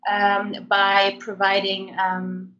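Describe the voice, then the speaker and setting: A woman's voice: mid-range voice, monotone, drawn-out words, bright, forceful tone. Woman who grew up in germany, guest on a podcast, lots of ums, kinda dull muffled audio. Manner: many hesitant ums while explaining. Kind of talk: interview conversation.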